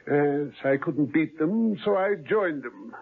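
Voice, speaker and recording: A gloating mad-scientist villain. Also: male voice, a little high, gravelly, breathy edge, full character voice. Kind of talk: 1970s radio drama acting. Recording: tinny old radio broadcast.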